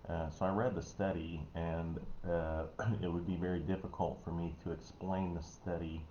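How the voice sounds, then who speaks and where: A man with a Missouri American accent, giving a casual health talk online in a folksy, rambling way, often clearing his throat. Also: deep voice, fairly even intonation, moderate pace, warm tone. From Missouri, United States. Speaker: host, health educator. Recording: dull, roomy, noisy home recording.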